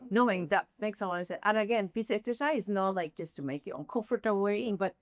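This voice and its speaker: A woman from Chile with a Chilean Spanish accent, leading a workshop session and often pausing to search for words. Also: mid-range voice, dry recording, studio quality. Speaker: workshop presenter.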